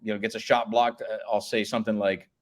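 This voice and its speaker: A man in his thirties talks in a relaxed, easy way, and his voice has a big booming announcer quality. His voice sits fairly low. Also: quick pace. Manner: booming voice, slips into announcer calls. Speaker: public address announcer. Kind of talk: casual interview chat.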